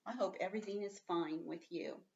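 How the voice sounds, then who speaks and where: A woman teaching young children, reading aloud expressively and explaining simply and patiently, slowing right down to stress words. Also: lowish voice, creaky, recorded from a distance, muffled recording. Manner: expressive, emphatic, slows right down on story words.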